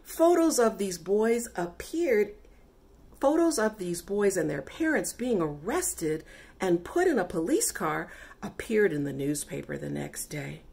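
A middle-aged woman reading a children's picture book aloud with deliberate, emphatic, dramatic phrasing. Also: mid-range voice, lively intonation, gravelly, darkish tone. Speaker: read-aloud storyteller.

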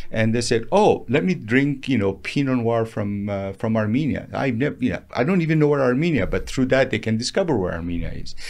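Middle-aged male interview guest, deep gravelly voice, emphatic and sure of himself, close studio mic. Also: darkish tone. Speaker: guest, entrepreneur and winemaker. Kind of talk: conversational interview answers. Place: small padded podcast studio. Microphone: close studio microphone on arm, headphones.

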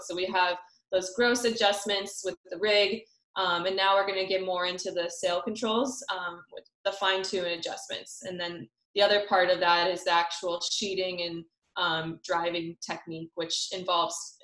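A woman's voice: mid-range voice, a bit bright. Young woman presenting, roomy echo. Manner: casual, many fillers. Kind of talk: online sailing seminar. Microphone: video call. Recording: roomy echo on call audio.

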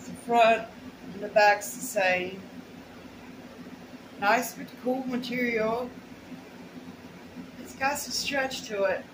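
Middle-aged woman, plain-spoken haul vlogger, casual rambling delivery in short bursts, roomy and noisy home recording. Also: medium pitch. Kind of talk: casual unboxing chat to camera. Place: small plain indoor room at home.

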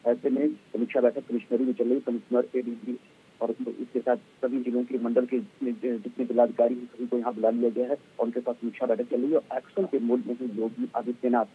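Man, middle-aged, husky, muffled narrow recording, wordy live news report. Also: mid-range voice, level tone, fairly quick. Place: outdoors outside a government office. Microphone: handheld microphone. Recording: muffled, narrow-band live outdoor link.

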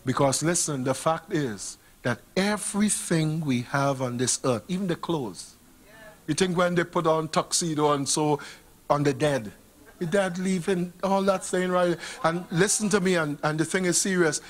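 A middle-aged man with a Vincentian accent preaching a sermon in a church, speaking into a handheld microphone, swinging from hushed appeals to loud exclamations. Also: on the high side, moderate pace. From Saint Vincent and the Grenadines. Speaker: pastor. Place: church sanctuary stage.

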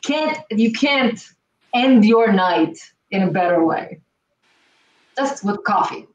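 A woman's voice: medium pitch, animated, slow pace, dull audio. Distant woman. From Israel. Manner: emphatic, repeats key points. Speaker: cooking show host. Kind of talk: casual chat on a video call. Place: home kitchen, indoors, standing back from the camera. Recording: echoey kitchen, distant mic, faint hiss.

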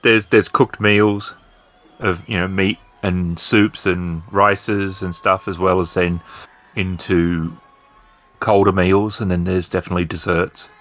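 A man with a low-pitched voice acts as storyteller for a tabletop game. He describes the setting in a halting, improvised flow, adding detail as he goes. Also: steady tone, fairly bright tone.

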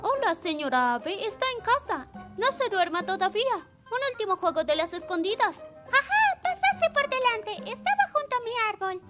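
A woman's voice: very high-pitched, moderate pace, over music. A woman voicing a cheerful, caring little girl in a dubbed cartoon. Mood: playful storytelling. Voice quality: childlike. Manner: animated, encouraging. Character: cheerful, caring little girl who loves pretend play. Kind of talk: Spanish-dubbed children's cartoon acting.

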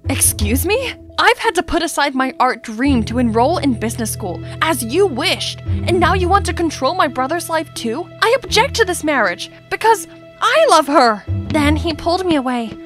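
A young, high-voiced heroine acted by a woman tells her cartoon life story. She is outspoken and theatrical, with music playing behind her. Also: lively intonation, moderate pace, hardly any pauses. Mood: indignant. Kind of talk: scripted first-person story narration.

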